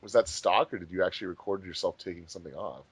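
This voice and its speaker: A man's voice: low voice, fast, breathy edge, dry recording. A man chatting casually and explaining things as host of a commentary track.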